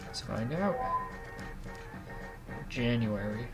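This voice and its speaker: A young man with a starting cold, a bit sniffly, chatting easygoingly as he hosts a game playthrough from a small quiet closet. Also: mid-range voice, expressive, extremely slow, creaky. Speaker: let's play host. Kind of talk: casual gameplay commentary. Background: faint swing game music.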